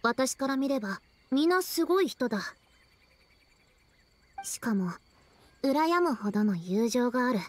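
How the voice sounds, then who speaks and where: High-voiced, earnest young yokai. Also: female voice, animated, unhurried, pauses often. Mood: earnest admiring. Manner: formal, earnest. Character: polite, admiring young yokai, small peacemaker.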